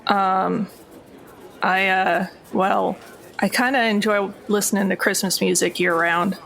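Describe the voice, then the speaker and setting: A woman's voice: medium pitch, fairly even intonation, a little slow, creaky, fairly bright tone, low-quality recording. A woman playing a reluctant, sarcastic young female agent recruit in a tabletop game, dry, hesitant and sardonic. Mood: wary.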